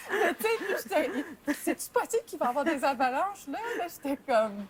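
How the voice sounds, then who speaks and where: A woman's voice: high voice, big pitch swings, normal speed, crowd noise. A middle-aged woman from Northern Ontario, chatting in a documentary interview and laughing often. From Sudbury, Northern Ontario, Canada. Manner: chatty, laughs often, uses many fillers. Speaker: mountaineer interviewee. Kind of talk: documentary interview, casual recollection.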